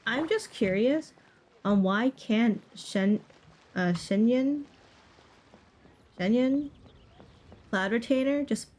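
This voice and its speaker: A woman's voice: on the high side, a little mellow. A woman giving casual commentary as she livestreams a video game. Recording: streamer mic over game music. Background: game music.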